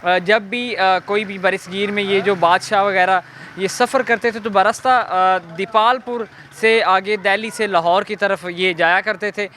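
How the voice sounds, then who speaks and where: A man with a Pakistani accent presenting a walk-through documentary on location, explaining in a halting way. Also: sits high, fairly even intonation, bright, forceful tone, slight background noise. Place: on location in an old fort's streets.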